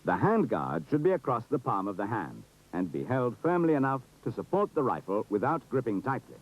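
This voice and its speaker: A man's voice: mid-range voice, very animated, brisk. A British man giving formal, precise, authoritative scripted narration for an instructional film. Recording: dull, muffled 1970s film soundtrack.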